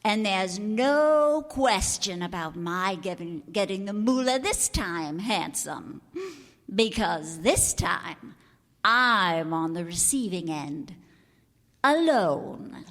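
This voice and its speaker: A woman's voice: medium pitch, animated, takes it slowly, fairly bright tone, big-room sound. A woman acting a brassy, defiant con artist in an old-time radio detective drama, slangy and biting. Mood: smug. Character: brassy, scornful female con artist and palm reader.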